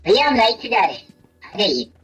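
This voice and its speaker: A man's voice: high-pitched, big pitch swings, racing, fairly bright tone, husky, pitched above the performer's own voice, full character voice. A man voicing a polite, eager little child gamer through a phone voice changer in game chat. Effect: phone voice changer turning voice childlike.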